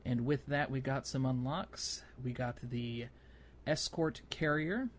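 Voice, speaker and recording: A man's voice: mid-range voice, slow pace, a little hiss or hum. A man hosting a gaming podcast, explaining things casually in a loose, hesitant way. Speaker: podcast host.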